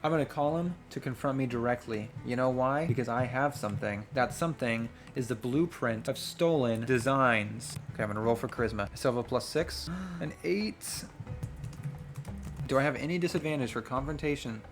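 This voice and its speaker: A man playing and narrating a role-playing game, reading story passages aloud and thinking out loud in an excitable way. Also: mid-range voice, normal speed. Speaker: solo role-playing game player and streamer. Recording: music playing behind the voice. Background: music.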